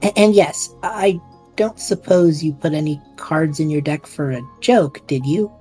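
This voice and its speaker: Low, mellow, bewildered young man played by a woman. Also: a little slow, breathy edge, soft background music. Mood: wry. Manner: hesitant, casual, wry. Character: bewildered, supportive young man lost in another world. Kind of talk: audio drama acting.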